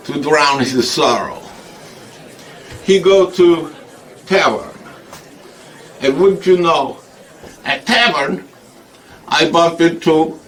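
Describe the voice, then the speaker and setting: A man's voice: on the high side, pushed and bright, recorded from a distance, a little hiss or hum, full character voice. A man performing a comic character named Igor in put-on broken English, rambling and laughing a lot. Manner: broken grammar, frequent laughing. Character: foreign male hunchback-type comic Igor, boastful. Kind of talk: comic monologue.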